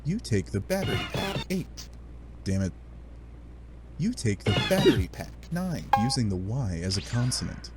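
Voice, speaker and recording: A man giving animated, sardonic narration of a comic adventure, with playful dramatic asides. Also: mid-range voice, moderate pace, loud music behind the voice. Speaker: narrator of a fan dub read-along.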